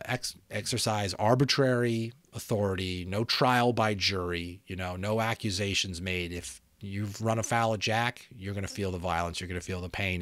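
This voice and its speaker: Low-voiced man reflecting aloud, very dry sound. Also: a bit bright, no echo. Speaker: audiobook reader and host. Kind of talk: informal commentary and expressive story narration.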